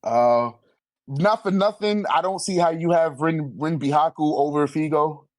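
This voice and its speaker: A man chatting casually in an animated, slangy manner with heavy swearing, as a guest in a friendly debate. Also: on the high side, unhurried. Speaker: guest debating a manga tier list. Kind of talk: casual chat.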